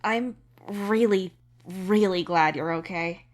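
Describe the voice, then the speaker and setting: A woman's voice: lowish voice, slow. A woman playing a caring, earnest young male alien in audio fiction, speaking casually and openly. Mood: gentle, reassuring. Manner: soft-hearted, casual, open. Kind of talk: scripted audio fiction dialogue.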